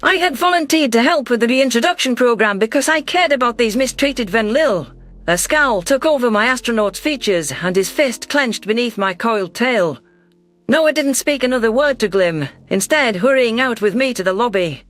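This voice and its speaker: A man's voice: extremely high pitch, lively intonation, normal speed, a bit bright. A man reading a science fiction story aloud as a dramatic first-person narration. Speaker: audiobook narrator. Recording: music bed under voice.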